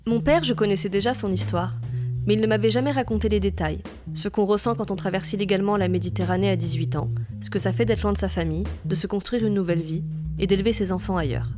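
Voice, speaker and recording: A young woman from France narrating her podcast in a calm, measured, reflective voice. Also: mid-range voice. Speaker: podcast host. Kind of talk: scripted narration. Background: guitar music.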